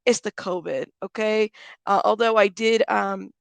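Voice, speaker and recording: A woman hosting a casual livestream, chatting with viewers and giving friendly shout-outs while still congested. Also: medium pitch, fairly even intonation, a little slow, gravelly, clear, resonant tone, no echo. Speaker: livestream host.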